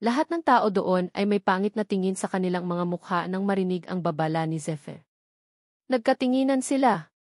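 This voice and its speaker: A woman's voice: mid-range voice, normal speed, a little mellow, no echo, clean studio sound. A woman reading a web novel aloud as a scripted story narrator. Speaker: audiobook narrator.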